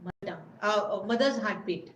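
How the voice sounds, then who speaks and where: A woman's voice: medium pitch, moderate pace, slight background noise. A woman giving an informal webinar talk, often repeating herself and searching for words. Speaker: webinar presenter. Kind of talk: informal lecture. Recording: roomy recording with faint background ambience.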